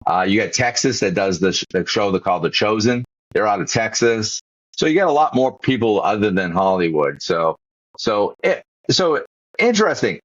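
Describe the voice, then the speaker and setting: A man's voice: fairly low pitch, big pitch swings, normal speed, dry recording, highs cut off. A man with a Boston accent hosting a podcast interview, chatting casually and rambling with frequent restarts. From Massachusetts, USA. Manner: rambling, many restarts and repeated words. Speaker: podcast host.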